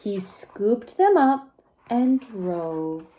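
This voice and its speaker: A woman reading a picture book aloud to young children in an expressive, gentle way, pausing to ask them questions. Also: mid-range voice, slight background noise. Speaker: preschool story time reader.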